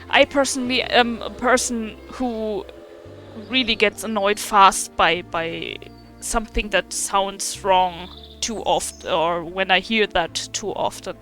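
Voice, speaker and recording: A woman gamer with a German accent, not a native English speaker, talks casually over her gameplay. Her delivery is slow, halting and self-deprecating, often searching for the right word. Soft music sits faintly in the background. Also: mid-range voice. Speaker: gaming video creator and streamer. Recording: faint game music behind.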